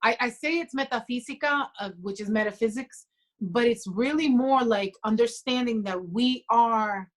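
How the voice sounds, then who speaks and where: A woman in her forties talks as a podcast guest, earnest and reflective. Her voice sits a little high. She speaks with quiet conviction. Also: moderate pace. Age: 40s. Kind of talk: casual conversation.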